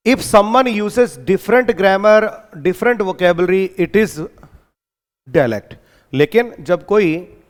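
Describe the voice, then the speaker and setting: A man with an Indian accent giving an exam-coaching lecture, reading English lines slowly and explaining them in Hindi with teasing asides. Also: on the high side, in a large hall.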